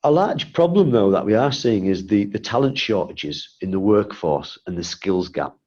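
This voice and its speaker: Low-voiced man with a stuffy cold. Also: expressive, moderate pace, a little mellow, muffled recording. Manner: explanatory, rhetorical questions. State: congested from a cold. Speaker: guest presenter. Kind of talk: webinar presentation.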